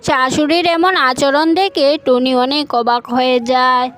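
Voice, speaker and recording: A woman's voice: high voice, moderate pace, a bit bright. A woman narrating a scripted cartoon tale for children as a storyteller.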